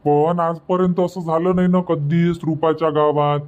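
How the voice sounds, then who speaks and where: A man's voice: fairly high pitch, level tone, moderate pace, a little mellow, dull audio, close to an everyday voice. A man voicing a village father and husband in a comedy cartoon, talking colloquially in Varhadi Marathi and repeating his points. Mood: concerned. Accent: Varhadi Marathi from Vidarbha.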